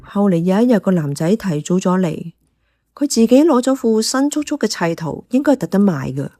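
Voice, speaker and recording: An observant café worker narrating a radio drama. Her voice is mid-pitched, soft and mellow, telling the story in the first person over light background music. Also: big pitch swings, brisk, no room sound, clean studio sound. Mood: calm, observant.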